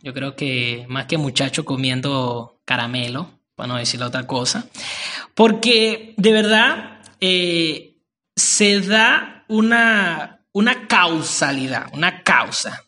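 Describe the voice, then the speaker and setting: Bright-voiced halting male podcast host. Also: on the high side. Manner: warm, rambling and halting with frequent fillers. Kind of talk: casual podcast interview.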